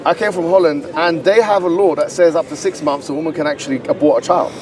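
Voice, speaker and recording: A man with a London accent arguing forcefully in an outdoor street debate, lecturing and insistent. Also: on the high side. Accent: London English. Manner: argumentative, lecturing, quick and insistent. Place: outdoor public park with crowd. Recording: distant street recording with crowd around.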